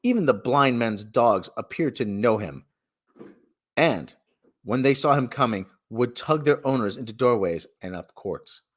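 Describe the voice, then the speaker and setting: A man giving a dramatic reading of a story, with theatrical, deliberate and emphatic phrasing. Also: medium pitch, animated, breathy edge. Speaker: reader and streamer.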